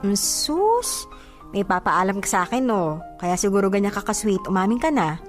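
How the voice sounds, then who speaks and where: A woman's voice: mid-range voice, moderate pace, soft background music, close to an everyday voice. A woman playing a playful, picky and jealous young girlfriend with a Filipino accent in a radio drama. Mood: teasing, suspicious. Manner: teasing, expressive.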